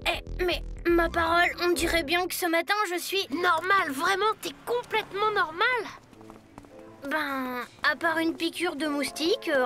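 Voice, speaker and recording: A woman's voice: very high voice, sharp tone, exaggerated voice. A woman voicing a wry young school child in a French children's cartoon.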